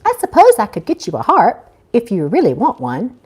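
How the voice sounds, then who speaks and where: A woman's voice: a little high, wildly swooping pitch, normal speed, a little mellow, husky, full character voice. A woman reading a story, voicing a prim, impatient older female angel mentor who instructs snippily. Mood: prim, instructive. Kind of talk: live audiobook-style reading.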